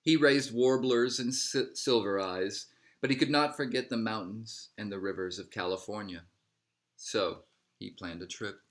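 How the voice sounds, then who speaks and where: Male storybook narrator, lower-pitched, scripted read-aloud delivery, measured phrasing with falling line endings. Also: fairly bright tone. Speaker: storybook reader.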